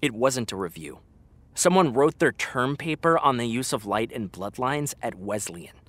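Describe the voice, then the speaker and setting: A man's voice: mid-range voice, lively intonation, moderate pace, fairly bright tone. An actor playing a frank, exasperated young man talking to his best friend in a naturalistic, conversational audio drama style. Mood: earnest, pointed.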